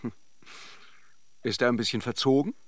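An actor playing a chatty, flirtatious banker on holiday in a radio drama, playful and teasing. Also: male voice, mid-range voice, normal speed, no room sound, close to an everyday voice. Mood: awkward charm. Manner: playful, gossipy, teasing self-deprecation. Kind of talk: literary radio drama acting and narration.

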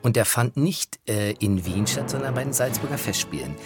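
A man's voice: medium pitch, animated, normal speed. A man presenting opera anecdotes as a music journalist, in a colloquial storytelling way. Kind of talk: anecdotal spoken narration.

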